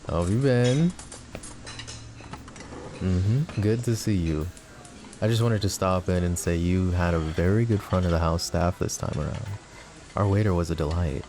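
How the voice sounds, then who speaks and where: A man playing a suave, possessive mafia boss in an intimate ASMR roleplay, with a smooth, teasing, mock-polite manner. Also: low-pitched. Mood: smug charm.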